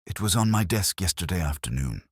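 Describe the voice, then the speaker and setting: A man's voice: deep voice, lively intonation, fairly quick, slightly rough, dry recording, pitched above the performer's own voice. A man voicing a prim, precise woman clerk in a dry, officious manner for a mystery story.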